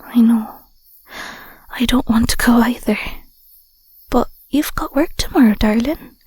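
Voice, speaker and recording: A woman playing a tender, sentimental young Scottish werewolf girlfriend in an intimate audio roleplay, speaking softly and breathily with a gentle, affectionate manner. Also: fairly high pitch, unhurried, dry recording. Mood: fond, curious. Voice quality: soft, breathy, whispery. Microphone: close mic, dry.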